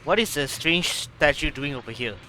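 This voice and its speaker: Analytical young male investigator in a game, bright, crisp tone, slightly higher voice. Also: lively intonation, moderate pace, a little hiss or hum. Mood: pondering. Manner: reasoning aloud, analytical. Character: thoughtful young man investigating ruins. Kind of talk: game cutscene dialogue.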